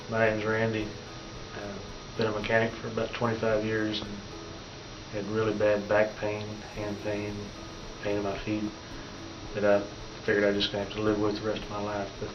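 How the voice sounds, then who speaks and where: A man's voice: fairly low pitch, monotone, moderate pace, long pauses. A middle-aged man giving a plain, understated testimonial as a patient. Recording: dull, roomy sound under music.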